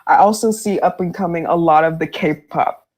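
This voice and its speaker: A woman's voice: fairly low pitch, normal speed, a little mellow. A woman co-hosting a casual podcast chat, candid and slangy with a wry edge.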